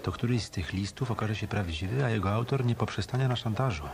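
A man's voice: lowish voice, fast talker, noisy background, close to an everyday voice. A male actor playing a tough, wry hotel detective in a hard-boiled Polish radio play. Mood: calm, wry.

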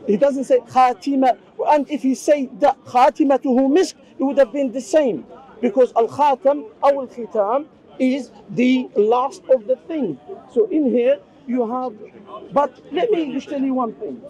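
A man whose first language is Arabic talks in a very high, mellow voice. He presses his opponent with insistent, probing questions in a street debate. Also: a little slow, poor audio. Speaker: Islamic debater. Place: outdoor park.

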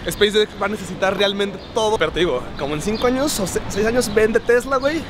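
A young man with a Mexican accent, chatting in a rapid, slangy and opinionated way. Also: high voice. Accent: Mexican Spanish. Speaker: friend. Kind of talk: casual chat. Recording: street noise.

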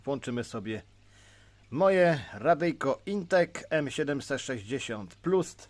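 A Polish man giving an informal, unscripted hands-on gadget demonstration as a hobbyist, explaining in a halting way. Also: mid-range voice, expressive, no room sound. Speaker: CB radio hobbyist presenter.

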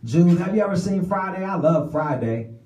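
A man's voice: sits high, lively intonation, normal speed, darkish tone, pitched above the performer's own voice. A man voicing an odd, childlike young woman who is stubborn and eager, with simple, insistent phrasing in a live comic book reading. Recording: echoey room with audience noise.

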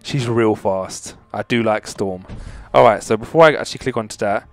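Excitable young man commentating a game. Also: lowish voice, normal speed, faint music behind. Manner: excitable, chatty, with loud exclamations. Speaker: gaming channel host. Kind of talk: casual mobile game commentary.

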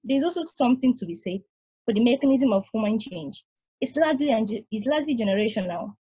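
A woman reading the part of a patient, knowing space probe in a play, measured and explanatory, over a video call. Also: on the high side, expressive, warm tone, breathy edge, no echo, lo-fi sound. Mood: reflective, explanatory. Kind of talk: table read of a stage play. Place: home room, over a group video call.

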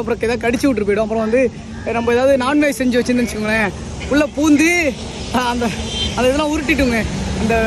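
High-voiced man vlogging outdoors by the road. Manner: chatty, addresses viewers directly, calls to animals in a high sing-song. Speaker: motovlogger. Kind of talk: casual travel vlog narration. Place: outdoors by a roadside. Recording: wind and traffic noise outdoors.

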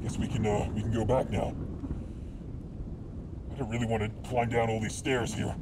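A man streaming a game live, commenting excitedly and swearing and yelping whenever danger hits. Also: fairly low pitch, moderate pace, heavy background noise. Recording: loud game music behind. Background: game music.

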